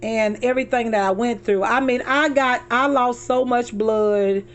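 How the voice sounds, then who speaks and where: Hoarse woman vlogger, middle-aged, slightly high voice, chatty, keeps circling back to her point, talking from a car. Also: measured pace. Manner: chatty, addresses viewers as y'all, repeats herself. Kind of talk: casual chat to viewers.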